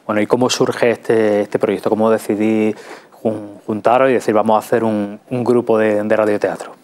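A man's voice: fairly low pitch, little pitch movement, normal speed, a little mellow. A man with an accent from Spain, hosting a studio interview on a culture programme. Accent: Spanish (Spain). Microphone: lapel.

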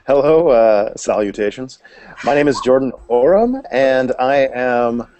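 A man's voice: mid-range voice, expressive, takes it slowly, a little mellow, a little hiss or hum. A man from British Columbia in his thirties, a photographer guest chatting casually on a webcam video call, rambling and correcting himself. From British Columbia, Canada. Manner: rambling, self-correcting, jokey. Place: living room at home.

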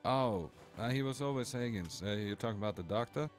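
A man's voice: mid-range voice, brisk, soft background music. A man streaming a roleplay game, chatting in character in a boastful, joking way and swearing often.

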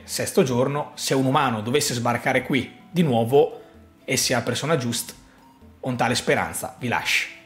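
A man, explaining a theory to camera in an emphatic, suspenseful way, repeating phrases for effect. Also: mid-range voice. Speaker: YouTube host. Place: small home room, indoors. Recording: roomy echo. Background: background music.

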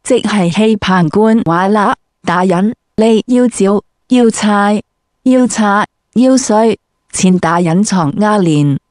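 Woman, brash and profane comic interviewer, mid pitch, dry recording. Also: takes it slowly, a little mellow, no room sound. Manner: foul-mouthed, brash. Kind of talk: crude comic interview, scripted.

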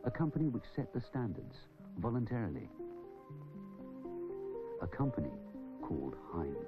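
A man's voice: mid-range voice, sing-song, normal speed. A man presenting a scripted corporate film in the manner of a narrator. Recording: dull old film soundtrack, music.

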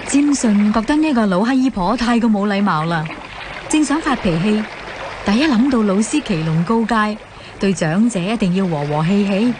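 A woman's voice: mid-range voice, lively intonation, moderate pace, noisy background. A woman with a Hong Kong accent narrating a scripted mythological radio drama as a storyteller.

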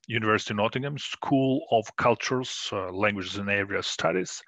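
A middle-aged man presenting his research in a recorded conference talk, measured and full of fillers and restarts. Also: fairly low pitch, sharp tone, no echo. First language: Croatian. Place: small room indoors.

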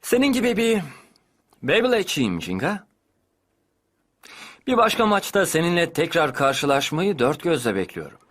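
A man's voice: on the high side, sing-song, moderate pace, long pauses, a bit bright. A man playing a self-assured, mysterious teenage rival in a dubbed anime, composed and confident. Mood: cordial.

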